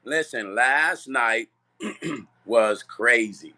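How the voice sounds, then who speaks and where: A man with a strained, partly lost voice, chatting loosely on a livestream and greeting viewers by name, clearing his throat often. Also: medium pitch, expressive, very slow, sharp tone. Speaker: music vlogger and producer. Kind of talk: casual livestream chat.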